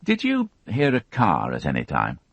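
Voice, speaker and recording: Man reading a calm police detective, steady questions, lively pitch, dry sound. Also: moderate pace, no echo, heavily acted. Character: patient, gentle male police detective.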